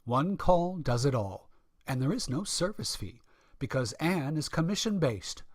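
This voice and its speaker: A man's voice: fairly high pitch, big pitch swings, measured pace, dry recording. Man reading a sponsor spot, dry sound. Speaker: sponsor announcer. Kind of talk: scripted radio ad read.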